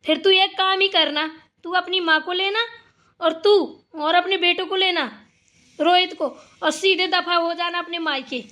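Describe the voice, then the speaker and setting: Shrill, nagging mother-in-law, acted by a woman. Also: steady tone, moderate pace, pushed and bright. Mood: angry, insulting. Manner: nagging, sarcastic, insulting. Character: scolding, short-tempered village mother-in-law Parvatiya. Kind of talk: Hindi family cartoon dialogue.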